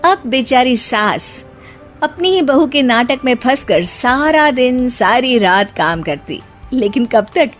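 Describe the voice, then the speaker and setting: A woman's voice: high-pitched, expressive, takes it slowly, faint music behind. A woman narrating a scripted family story for a cartoon.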